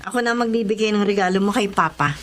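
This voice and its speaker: An actress playing a kind, reassuring older sister in an audio drama. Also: female voice, medium pitch, quick pace, slight background noise, natural voice. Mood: gentle. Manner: gentle, reassuring.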